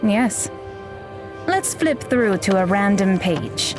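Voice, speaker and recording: An actress playing a blunt, aloof young magical mentor who talks in a dry, deadpan way in an audio drama. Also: female voice, medium pitch, takes it slowly. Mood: instructive. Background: background music.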